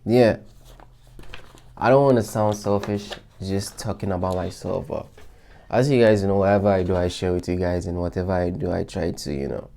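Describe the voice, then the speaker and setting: A man's voice: low voice, moderate pace, gravelly, dark tone. A man vlogging to his followers in a casual, reflective way, talking through his goals with loose restarts and many fillers. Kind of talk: casual motivational talk to followers.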